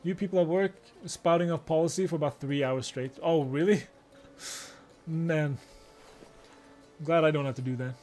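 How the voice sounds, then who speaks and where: A man's voice: on the high side, normal speed, pauses often, darkish tone. A man chatting casually as a live game streamer, with game music behind the voice. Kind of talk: casual stream chat mixed with reading game dialogue aloud. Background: video game music and game narration.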